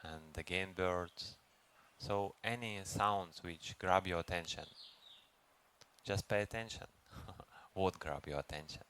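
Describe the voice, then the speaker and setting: A man leads a guided meditation as a teacher, speaking gently and unhurriedly with long pauses. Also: sits low. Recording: noisy recording with ambient background sound.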